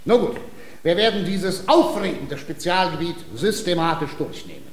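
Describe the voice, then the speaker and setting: Pedantic tutor, rather high voice. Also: male voice, animated, moderate pace, roomy sound, slight background noise. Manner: didactic, precise, formal. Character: pedantic, patient male language tutor, self-important. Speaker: actor in a radio drama. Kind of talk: theatrical classic-comedy radio acting.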